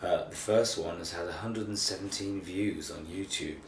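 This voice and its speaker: A tired man with a cold and a slightly croaky voice, chatting hesitantly and self-deprecatingly in an unscripted video blog. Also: sits low, moderate pace. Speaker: theatre artistic director giving a monthly video update. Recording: echoey, distant mic, faint noise.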